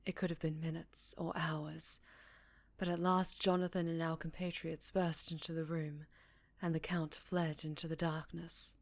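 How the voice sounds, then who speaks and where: A woman playing a haunted, sorrowful vampire in an ASMR roleplay, telling her tale slowly with a Southern accent and a breathy voice that often slips into whispers. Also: low voice, level tone, no room sound. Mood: haunted, fearful. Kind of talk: ASMR roleplay, intimate dramatic storytelling.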